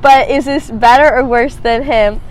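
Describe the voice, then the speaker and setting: A young woman with a slightly raspy voice, co-hosting a casual podcast chat, giggly, blunt and crude. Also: fairly high pitch, steady tone, normal speed, slight background noise. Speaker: podcast co-host.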